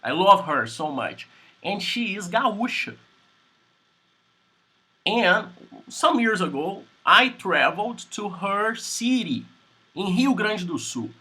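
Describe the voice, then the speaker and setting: A Brazilian man with a Portuguese accent teaching English on a video call, speaking in slow, simple sentences and repeating words. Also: sits high, very animated, pushed and bright, husky. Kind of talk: online English class conversation.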